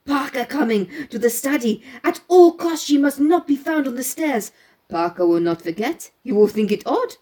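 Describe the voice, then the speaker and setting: A woman voicing a calm, knowing male detective with a French accent, speaking in a deliberate, formal storybook manner. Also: high-pitched, pitched above the performer's own voice.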